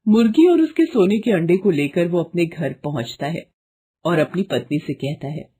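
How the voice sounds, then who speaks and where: Female storyteller for children's fables: lowish, mellow, husky voice with expressive delivery. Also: moderate pace. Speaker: storyteller narrator. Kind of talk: scripted children's story narration.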